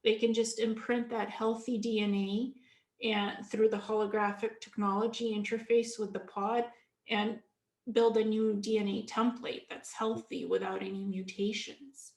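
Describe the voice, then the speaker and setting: A woman's voice: mid-range voice, flat delivery, moderate pace, roomy sound. A woman from Ukraine, a guest in an interview, explaining at length in an earnest way, often restarting her sentences. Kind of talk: casual interview talk.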